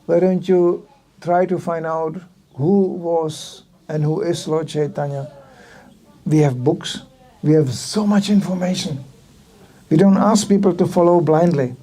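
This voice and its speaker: An older man from the Republic, giving a sermon at a temple and telling stories in a lively way, acting out the quoted voices. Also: a little high, measured pace. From Czech Republic. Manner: animated storytelling, acting out quotes. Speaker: visiting speaker at a temple.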